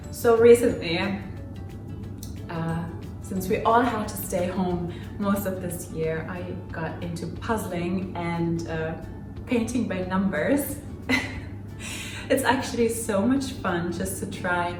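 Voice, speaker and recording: A woman's voice: fairly low pitch, echoey room, far from the mic. A woman in her twenties, from Finland and raised in Germany, chatting through a casual get-to-know-me Q&A with many fillers and small laughs. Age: 20s. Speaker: vlogger. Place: home room.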